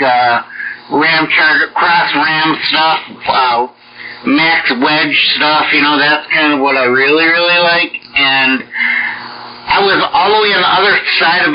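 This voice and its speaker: Higher-voiced Midwestern man over noisy radio. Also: muffled recording. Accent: American Midwestern. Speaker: amateur radio net participant. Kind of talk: casual radio chat. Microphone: two-way radio. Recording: noisy band-limited shortwave radio transmission.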